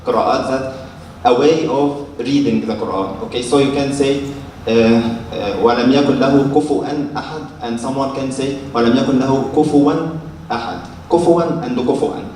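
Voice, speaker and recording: A man's voice: medium pitch, darkish tone. Egyptian guy, arabic native, asking from the floor, stumbles and repeats himself, far from mic in a super echoey room. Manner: very halting, repeats words, seeks confirmation with okay and yes. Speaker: audience member asking a question. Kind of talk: unscripted question and argument from the floor. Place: large echoey lecture room. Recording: very echoey room, distant mic, faint noise.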